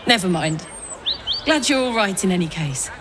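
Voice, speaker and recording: A woman playing a plain-spoken, tough but warm-hearted warrior in a fantasy game. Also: lowish voice, lively intonation, moderate pace, over music, natural voice. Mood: wry, friendly.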